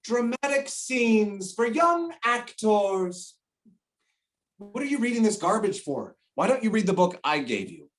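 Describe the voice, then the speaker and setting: Man acting a blustering shopkeeper dad, wildly animated. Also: sits high, far from the mic. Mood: scornful, nagging. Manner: blustering, emphatic, drawn-out words. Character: bossy, greedy shopkeeper father, middle-aged, hard-sell huckster. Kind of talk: stage play acting over video call.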